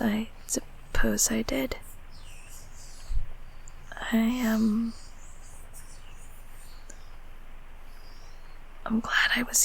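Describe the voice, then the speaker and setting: A woman voicing a gentle, bashful closet creature in a comfort audio roleplay, speaking softly and breathily, half in whispers, with tender, hesitant reassurance. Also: on the high side, vocal fry, slight background noise, poor audio. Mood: sheepish, caring.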